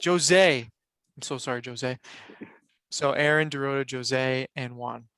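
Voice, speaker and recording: A man's voice: mid-range voice, slow pace, fairly bright tone, clean tone, dry recording. A man moderating a panel over a video call, talking loosely with many fillers and restarts. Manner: loose, filler-heavy, apologetic.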